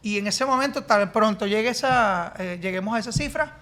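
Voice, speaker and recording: A man's voice: high-pitched, brisk, bright, forceful tone, a little hiss or hum. A Venezuelan man in his forties, a musician guest telling stories in a talkative, joking way. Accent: Venezuelan Spanish. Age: 40s. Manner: talkative, joking, self-deprecating. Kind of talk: casual interview chat.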